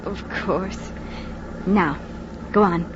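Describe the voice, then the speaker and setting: An actress playing a proud, earnest, romantic young wife in a 1940s radio drama. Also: female voice, on the low side, drawn-out words, background noise. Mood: tender. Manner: earnest, firm. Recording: muffled old radio broadcast.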